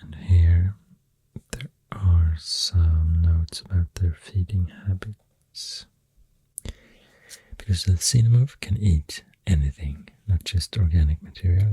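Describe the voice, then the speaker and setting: A Swedish-accented ASMR artist speaks very low and softly, often slipping into a breathy whisper. The delivery is slow and hesitant, with a dark, mellow tone, recorded close to the microphone. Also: steady tone, no echo. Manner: hushed, hesitant, gentle rambling delivery drifting into whispers. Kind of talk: soft-spoken ASMR, rambling facts while drawing. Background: pencil writing and clicking sounds.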